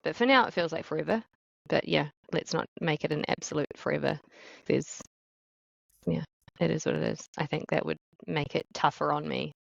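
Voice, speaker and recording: A woman's voice: low voice, normal speed, vocal fry, no echo, highs cut off. A woman co-hosting a podcast interview, speaking thoughtfully and asking curious questions. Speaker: podcast co-host.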